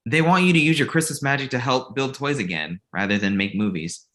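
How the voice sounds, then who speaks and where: A man's voice: mid-range voice, brisk, fairly bright tone, lightly acted. A man playing an earnest, exasperated male manager in a comedy table read, urgent and conversational.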